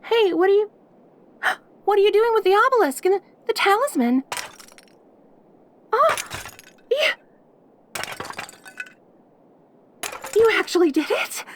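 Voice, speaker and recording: A woman's voice: very high voice, lively intonation, moderate pace, pauses often, slight background noise. A woman voicing a perky, lonely yandere demon girl in an intimate audio roleplay, eager and stammering as she dotes on the listener. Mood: overjoyed, tearful. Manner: eager, stammering, giggly, devoted.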